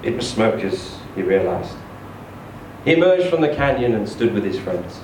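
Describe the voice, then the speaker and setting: A man reading a short story aloud in an expressive, theatrical, emphatic storytelling style. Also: on the low side, normal speed. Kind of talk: expressive live literary reading. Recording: echoey room, distant mic, faint noise.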